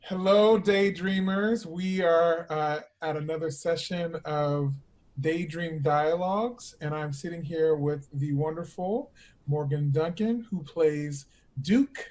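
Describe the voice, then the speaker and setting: A man's voice: a little high, takes it slowly, a little mellow. An American man hosting a conversational interview, attentive to his guest and feeling his way through questions. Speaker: interviewer, host.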